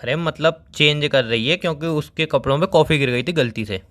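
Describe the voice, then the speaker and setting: A man's voice: fairly high pitch, brisk, a bit bright. A young man in a casual phone argument. He talks in an evasive, teasing way, with an exasperated edge, as he bickers with a partner. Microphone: phone call.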